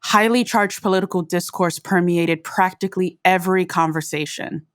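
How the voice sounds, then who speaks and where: Female host for scripted podcast narration. Lower register, very dry recording. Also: steady tone, moderate pace, smooth, no echo.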